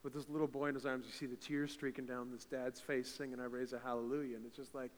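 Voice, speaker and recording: A man from eastern Canada with a big voice, preaching a fervent sermon in a church and building to loud, emphatic peaks. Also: mid-range voice, flat delivery, fast talker. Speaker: pastor. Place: church sanctuary. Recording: reverberant church hall.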